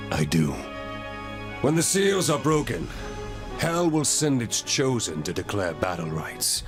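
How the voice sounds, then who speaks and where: An actor playing a zealous, confident angel commander in a video game, measured and grandiose, with music behind him. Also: male voice, deep voice, vocal fry, heavily acted. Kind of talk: dramatic video game character acting.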